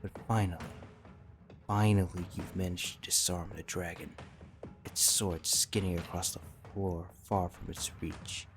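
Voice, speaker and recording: A man with a deep, low voice narrates a fantasy tale. He reads from a script in the second person, as a storyteller, over faint background music. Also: steady tone, a little slow.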